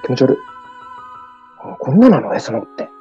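A woman's voice: very deep voice, very animated, a little fast, dark tone, dull audio, faint music behind. A woman playing a puzzled, casual younger brother, asking questions in an improvised radio drama. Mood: baffled. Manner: baffled, questioning.

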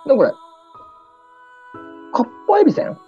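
A woman's voice: normal speed, highs cut off, faint music behind, close to an everyday voice. A woman playing a puzzled, casual younger brother, asking questions in an improvised radio drama. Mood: baffled. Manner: baffled, questioning.

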